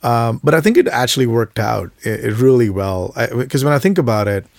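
A man in his thirties who grew up in India talks casually as a podcast guest, his voice gravelly with fry. He stumbles through many restarts and fillers, then livens up to act out the people he quotes. The sound is dry and close. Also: mid-range voice, a little fast, dry recording. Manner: hesitant, lots of stammered restarts and fillers; animated, acts out quoted voices, laughs. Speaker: podcast guest, creative director. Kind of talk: casual interview storytelling.

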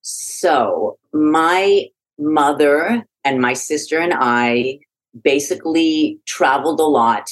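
A woman's voice: sits low, slow, low-quality recording. A middle-aged woman from Iraq, a podcast guest telling her life story in a frank, reflective way with frequent restarts. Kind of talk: conversational interview.

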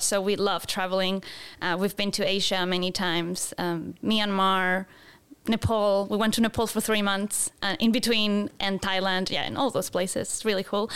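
Woman, 30s, chatty interview guest, crisp clear voice, lots of fillers and laughs. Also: mid-range voice, a bit bright. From Chile. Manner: chatty, many fillers and restarts, laughs often. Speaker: photographer and guest. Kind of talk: casual interview.

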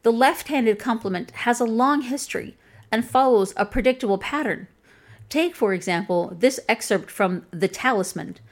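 A woman with a flat Chicago accent delivering scripted commentary in a wry, pointed and dryly humorous way. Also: mid-range voice. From Chicago, USA. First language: English. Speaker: video essayist.

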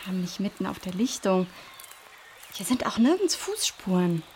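A composed female search leader, played by a woman in a children's audio play. She sounds assured and caring at a medium pitch, reasoning things out and gently directing her team. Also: sing-song, normal speed, a little hiss or hum, close to an everyday voice. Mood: thoughtful, puzzled. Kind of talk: children's audio drama acting.

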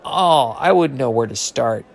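A man's voice: medium pitch, lively intonation, measured pace, gravelly. A man playing an eager, bashful but brash ninth-grade boy in an audio drama.

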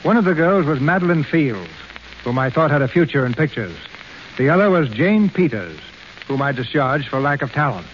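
Formal 1930s radio man, slightly high voice. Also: sing-song, moderate pace, highs cut off, a little hiss or hum. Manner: formal, florid. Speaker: radio theater host and announcer. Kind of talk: 1930s radio presentation, scripted narration. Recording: band-limited 1930s radio broadcast.

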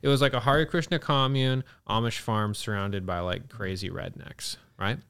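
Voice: mid-range voice, steady tone, moderate pace, a bit bright, clear, resonant tone. A comedian guest from Canada chatting on a podcast, joking and mock-surly, often saying "dude". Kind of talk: casual podcast chat.

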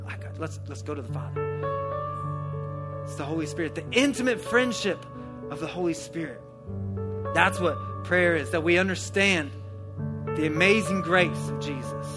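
Male preacher, high-pitched and energetic, live sermon over instrumental music. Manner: energetic, conversational, swells to shouting. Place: church hall before a congregation.

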